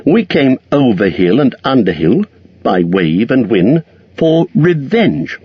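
Man playing small timid hobbit, squeaky, fawning, lively pitch, husky. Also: slow, no echo, exaggerated voice. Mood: defiant. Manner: high, frightened squeak, fawning. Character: timid small male hobbit, flattering and nervous. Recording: dull lo-fi sound.

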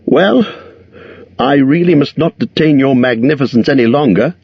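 A man voicing a timid small male hobbit who talks in a high, frightened, fawning squeak. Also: moderate pace, slightly rough, no room sound. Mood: polite. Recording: dull lo-fi sound.

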